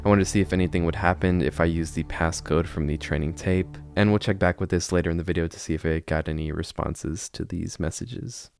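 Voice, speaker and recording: A man's voice: sits low, fairly even intonation, fairly quick, few pauses. A man narrating a horror video essay in a conversational, storytelling way. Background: background music.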